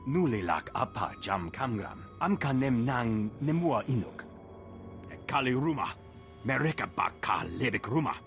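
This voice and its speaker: Alien crime boss, haughty, with music behind. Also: male voice, mid-range voice, very animated, moderate pace, fairly bright tone. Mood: haughty, businesslike. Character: alien crime boss speaking an invented gruff tongue. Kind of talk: video game voice acting.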